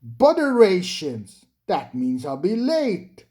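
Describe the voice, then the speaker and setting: A proud, impatient little engine, as a storybook reader puts him on, stammering and hurrying his words when flustered. His voice rises and falls in lively swoops. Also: medium pitch, slow pace.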